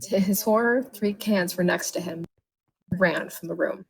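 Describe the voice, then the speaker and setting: A woman's voice: on the low side, normal speed, creaky, low-quality recording. A woman reading a written story aloud in a dramatic narrating style. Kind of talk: dramatic bedtime-story narration of a comic horror tale.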